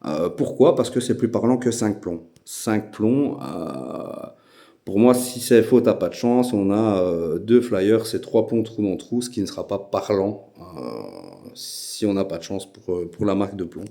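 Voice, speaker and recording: A Belgian man with a Belgian French accent, hosting a casual vlog and talking with many hesitant filler pauses. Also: fairly low pitch, mellow. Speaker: YouTube channel host.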